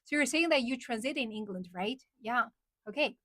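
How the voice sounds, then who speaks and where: A woman's voice: on the high side, expressive, moderate pace, sharp tone, no echo, clean studio sound. A young woman from China, hosting a casual livestream, chatting with viewers, repeating their questions aloud and switching between English and Mandarin. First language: Chinese. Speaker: livestream host. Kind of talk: casual livestream chat reading viewer comments.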